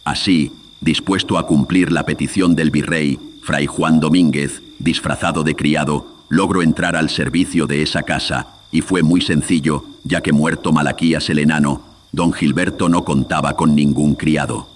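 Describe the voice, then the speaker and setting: An extremely low male voice narrates a horror radio drama. He tells it solemnly and dramatically, like an old radio serial, through a processed filter. Crickets and faint noise sit behind him. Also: fairly even intonation, moderate pace.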